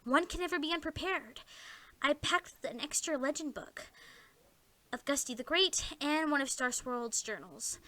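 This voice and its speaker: A woman's voice: high voice, lively intonation, unhurried, bright, forceful tone, pitched above the performer's own voice, heavily acted. A woman voicing a sensible, bookish female unicorn pony leader, earnest and reassuring.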